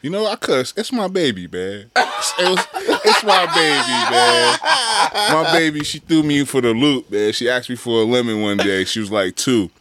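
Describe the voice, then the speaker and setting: A man's voice: mid-range voice, normal speed, slight background noise. A man from Connecticut, co-hosting a casual podcast chat, telling stories with lots of slang. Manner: animated storyteller, slangy. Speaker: podcast co-host.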